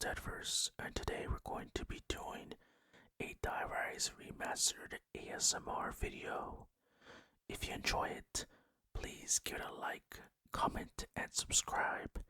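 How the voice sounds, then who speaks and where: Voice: sharp tone, no echo. A gaming channel host narrating game facts in an ASMR style, entirely in an unvoiced whisper.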